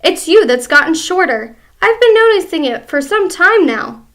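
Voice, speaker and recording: A woman's voice: very high voice, big pitch swings, normal speed, a bit bright, voice pushed up, full character voice. A woman voicing a nasty, gloating old man who jeers at and taunts his wife. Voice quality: jeering, taunting.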